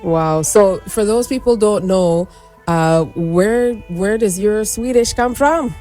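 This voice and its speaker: A woman's voice: medium pitch, slow, dark tone, soft background music. A woman hosting a morning radio show, asking a guest upbeat, encouraging questions. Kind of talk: radio interview.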